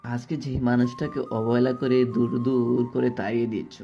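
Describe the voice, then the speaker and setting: Casting: rejected young lover, voiced by a male narrator, soft dark timbre, wounded, resigned, audio drama with music. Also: medium pitch, little pitch movement, brisk, creaky, dull audio, natural voice. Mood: sorrowful, resigned. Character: heartbroken, humble young orphan man, rejected lover.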